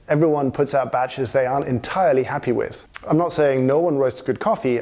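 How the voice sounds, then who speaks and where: A man speaks in a conversational, emphatic manner, stressing his points as he explains. His voice sits at an ordinary middle pitch. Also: a little mellow. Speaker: coffee expert in a played clip. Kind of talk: explaining to camera.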